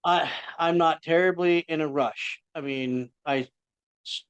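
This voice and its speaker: A man's voice: on the high side, a little slow, clean tone, no echo, dull audio. A middle-aged man, an indie author, chatting casually on a podcast in a rambling, self-interrupting way.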